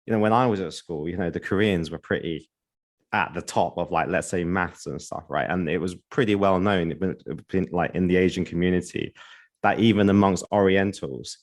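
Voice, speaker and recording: A man's voice: low-pitched, moderate pace. A man hosting an interview, asking long, curious questions. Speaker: host. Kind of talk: casual interview chat.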